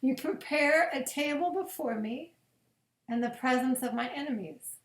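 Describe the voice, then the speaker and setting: A woman pastor reading scripture aloud as a children's bedtime story, in measured, deliberate phrases with long pauses. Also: high voice. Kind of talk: gentle read-aloud of scripture picture books. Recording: echoey room.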